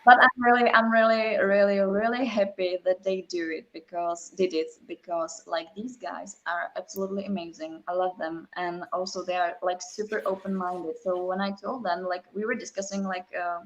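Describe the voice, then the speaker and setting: A young woman, a metal band singer, talking casually in a live chat interview, with many fillers and halting non-native phrasing. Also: fairly low pitch, fairly even intonation, lo-fi sound.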